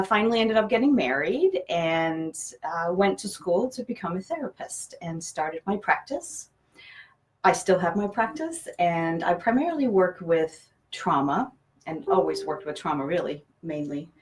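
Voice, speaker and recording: A woman's voice: fairly low pitch, expressive, measured pace. A woman from Denmark whose first language is Danish, answering conversationally as an interview guest and therapist.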